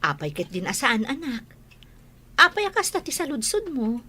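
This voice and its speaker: A woman playing a gentle mother and teacher in a radio drama, speaking in an encouraging way. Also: sits high, sing-song, normal speed, heavily acted.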